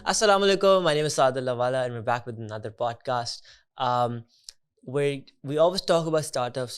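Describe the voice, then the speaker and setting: A man's voice: medium pitch, smooth. A man from Pakistan who hosts a startup podcast, introducing and questioning his guest in a friendly way with "uh" and "um" between phrases. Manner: friendly, fills pauses with "uh" and "um". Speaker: podcast host. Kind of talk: hosting an interview.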